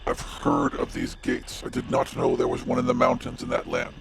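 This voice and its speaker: Man putting on a gravelly, grave dwarf warden. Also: a bass voice, completely flat delivery, normal speed, heavy background noise, exaggerated voice. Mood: solemn, puzzled. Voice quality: gravelly, creaky. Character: grave, formal old warrior warden, a dwarf in magical armor.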